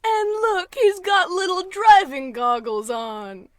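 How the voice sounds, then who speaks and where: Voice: extremely high pitch, slow pace, no room sound, studio quality. An actor playing a sweet, easily frightened housewife, a woman in an exaggerated old-time radio comedy. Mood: cheerful, hopeful.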